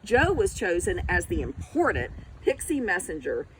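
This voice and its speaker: Expressive woman storyteller with animated pitch. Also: a little hiss or hum, poor audio. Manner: expressive, deliberate, pauses to question listeners. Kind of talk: read-aloud storybook narration for young children.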